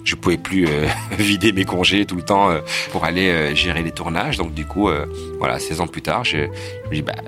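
Man, very deep voice, quick talker, casual storytelling. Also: over music. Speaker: playwright and director. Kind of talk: documentary interview.

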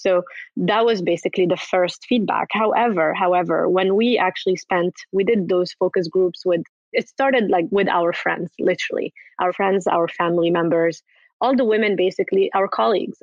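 A woman's voice: on the low side, no echo, lo-fi sound. A woman in her thirties from Morocco, a company co-founder answering interview questions in a talkative way and leaning on "basically". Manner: talkative, repeats words and fillers like "basically".

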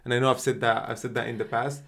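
A man's voice: medium pitch, level tone, fast talker, vocal fry, a bit bright. A man co-hosting a football podcast, talking casually with lots of fillers and restarts. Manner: chatty, many fillers and restarts. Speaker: podcast co-host.

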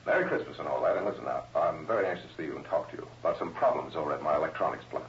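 A man playing a hurried, fussy businessman on a tape playback in a mid-century radio drama, talking without a pause for reply. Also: rumbling low, lively intonation. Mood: hurried, evasive. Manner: rushed, fussy, talks over the listener. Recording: echoey, muffled, noisy old radio.

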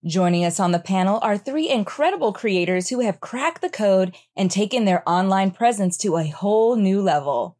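A woman's voice: mid-range voice, animated, normal speed, few pauses, darkish tone. A woman reading a scripted, upbeat introduction for a show. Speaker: show announcer.

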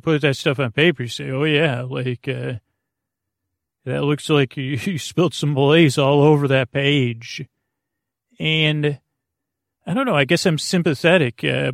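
Male podcast host, gravelly and creaky, dry close sound. Meandering, stop-and-start delivery, whimsical and casual. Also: mid-range voice, dry recording. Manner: goofy, whimsical. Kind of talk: rambling casual monologue for a sleep podcast.

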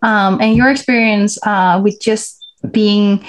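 A woman's voice: medium pitch, fairly even intonation, slow, a little hiss or hum. A woman from Denmark interviewing a guest over a video call, talking hesitantly with many restarts.

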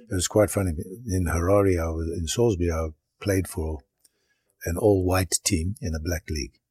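A man's voice: low voice, a little mellow, husky, no echo. An older man who grew up in Zimbabwe, a goalkeeper coach answering interview questions and explaining his training step by step. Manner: explains step by step, plain and practical.

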